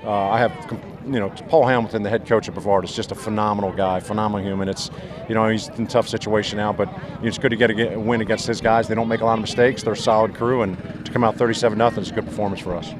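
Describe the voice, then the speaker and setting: A middle-aged man, a head football coach, giving a postgame interview outdoors on the field, talking fast and restarting his sentences often. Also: on the low side, steady tone, crowd noise. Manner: fast, rambling, many restarts. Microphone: handheld mic held by interviewer.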